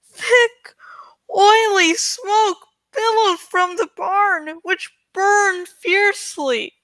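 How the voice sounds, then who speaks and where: High, bright woman reading a novel aloud. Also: dry recording, low-quality recording. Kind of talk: dramatic audiobook-style reading with casual asides. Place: home study, close dry recording.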